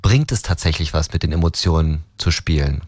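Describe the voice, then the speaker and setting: A male co-host from Berlin with a very low voice feels his way through his thoughts, stopping and rephrasing as he goes. The sound is dry and close. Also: expressive, a little fast, a little mellow, no echo. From Berlin, Germany. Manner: thinks aloud, hesitant, corrects himself. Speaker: podcast co-host. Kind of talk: casual conversation.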